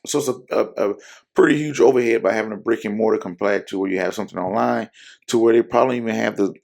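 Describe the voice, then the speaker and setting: A man hosting an investing channel, speaking in casual, rambling talk to camera with lots of fillers and self-corrections while his voice is hoarse. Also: on the low side, quick pace, a little mellow.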